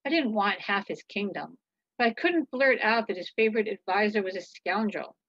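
A woman's voice: medium pitch, lively intonation, moderate pace, a bit bright, dry recording. A woman reading a children's story in the first person, expressively, over a video call. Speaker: author reading own children's book. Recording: slightly dull video-call audio.

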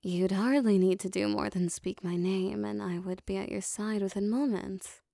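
Woman acting a possessive, creaky-voiced demon woman. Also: mid-range voice, fairly even intonation, dark tone, dry recording, clean studio sound. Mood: possessive, insistent. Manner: formal, deliberate, intimate phrasing. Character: powerful, possessive female demon devoted to her master. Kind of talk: ASMR roleplay monologue.

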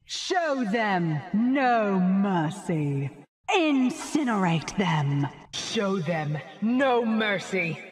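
Fierce, reverberant avenging angel. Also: female voice, mid-range voice, very animated. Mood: fierce command. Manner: commanding, drawn-out declarations. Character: merciless winged avenging angel, fierce and commanding. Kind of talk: video game battle cries.

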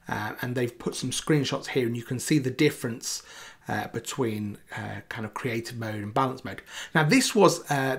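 A man in his forties giving a casual software demo as a video presenter, in a conversational, emphatic way. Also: medium pitch, creaky.

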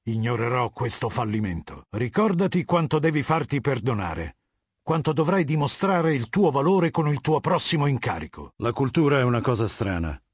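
An actor playing an imperious, ruthless middle-aged warlord in a dubbed video game, commanding, measured and scornful. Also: male voice, medium pitch, sing-song, breathy edge, no echo, clean studio sound. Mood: cold contempt. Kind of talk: dramatic game dubbing, scripted dialogue.

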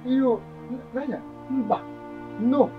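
A man with a Nigerian Igbo accent playing a proud, unyielding village strongman, speaking in a deliberate, self-assured and declamatory way in a film drama. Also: very high voice, phone-quality sound, over music. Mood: coolly defiant.

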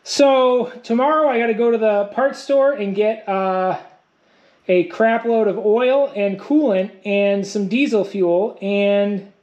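A man in his thirties talks his way through a truck repair in a cluttered wooden garage, mumbling to himself and cracking dry jokes at his own expense. His voice is worn and a little hoarse from days of talking, recorded on a head-mounted camera. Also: high-pitched, takes it slowly, darkish tone, highs cut off. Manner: wry, self-mocking, muttering to himself while working. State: losing his voice. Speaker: vlogger working on his truck. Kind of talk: casual workshop vlog.

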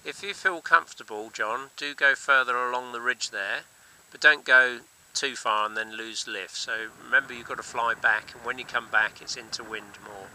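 A man's voice: mid-range voice, normal speed, sharp tone, poor audio. A man teaching paragliding, commentating from a hilltop and in the air while coaching a student. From England. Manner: coaching over radio, calling out instructions. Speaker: paragliding instructor. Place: outdoors on a hilltop and in flight.

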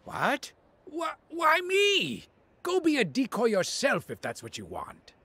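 A grumbling little old demon thief for a video game. The voice is husky and slow, swooping wildly up and down in fussy indignation. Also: male voice, very high-pitched, huge pitch swings, bright, forceful tone, no echo, heavily acted. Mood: flustered, indignant. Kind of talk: video game cutscene acting.

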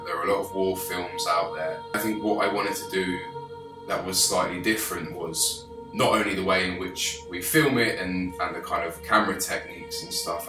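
A young man from England in his twenties, pitching his film to camera with eager gestures and frequent restarts. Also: recorded from a distance. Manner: enthusiastic, gestures with his hands, many restarts. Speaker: film director pitching a crowdfunding campaign. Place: small office, indoors. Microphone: camera a few feet away. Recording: roomy office sound with background music.

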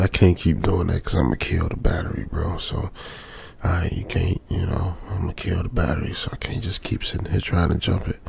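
A man's voice: deep voice, gravelly, darkish tone, a little hiss or hum, heavily acted. An American man playing a stranded young trucker in a radio drama, uneasily muttering to himself in colloquial, repetitive phrases. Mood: worried. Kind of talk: old-school radio drama monologue, improvised feel.

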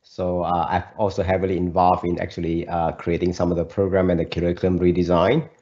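A man's voice: deep voice, little pitch movement, brisk, warm tone. A middle-aged man introducing himself as a faculty advisor on a video call, hesitant with many fillers. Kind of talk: panel self-introduction. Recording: dull lo-fi video-call audio.

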